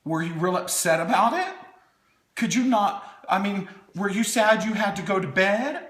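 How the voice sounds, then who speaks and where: A man's voice: high-pitched, animated, moderate pace, a bit bright. A man hosting a playful storytime, reading a children's book with wry, chatty asides in a theatrical way, as if talking to small children. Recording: echoey room.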